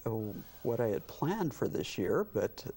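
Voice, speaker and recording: A middle-aged or older man being interviewed on a talk show as a theater director, speaking haltingly and sometimes trailing off. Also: mid-range voice, sing-song, warm tone, slightly rough. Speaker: guest, community theater director. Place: TV studio.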